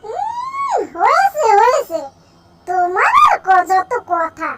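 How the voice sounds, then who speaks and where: A woman's voice: extremely high pitch, wildly swooping pitch, slow, a bit bright, full character voice. A woman voicing a scornful, nagging cartoon wife who scolds in exclamations. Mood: irritated scolding. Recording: clearly noisy background.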